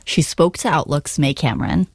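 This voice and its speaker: Low-voiced woman presenting a radio show. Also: normal speed, darkish tone, no room sound, clean studio sound. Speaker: radio programme host. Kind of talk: scripted radio introduction.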